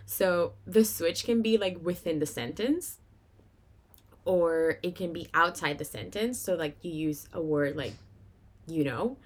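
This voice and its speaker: Low-pitched young Venezuelan woman podcasting. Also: measured pace. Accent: Venezuelan Spanish. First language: Spanish. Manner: chatty, informal, giggles often while talking. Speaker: podcast host. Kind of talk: casual solo podcast monologue.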